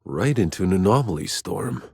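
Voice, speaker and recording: A man voicing a gruff, battle-weary soldier in a video game, sardonic and hard-bitten, with terse delivery. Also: low-pitched, expressive, moderate pace, no echo, studio quality, exaggerated voice. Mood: grim, reflective. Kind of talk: scripted game dialogue.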